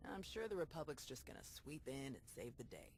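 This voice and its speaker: A battle-hardened female Jedi in a game cutscene, with clear noise around the voice. She is dry and blunt, carrying a weary sardonic edge. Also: very deep voice, sing-song, brisk, darkish tone, exaggerated voice. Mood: sardonic, irritated. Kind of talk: video game cutscene acting.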